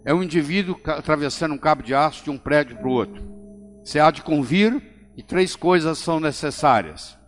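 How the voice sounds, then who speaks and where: A man's voice: fairly high pitch, lively intonation. Older man giving an emphatic motivational talk. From Brazil. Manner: emphatic, slows down to stress key phrases. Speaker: motivational speaker. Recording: long hall reverb with faint music. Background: faint background music.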